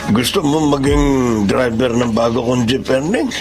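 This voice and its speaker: A man's voice: medium pitch, normal speed, close to an everyday voice. A man playing an older, frank but good-natured jeep owner in a Filipino radio drama, with a genial manner. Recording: music under the voice.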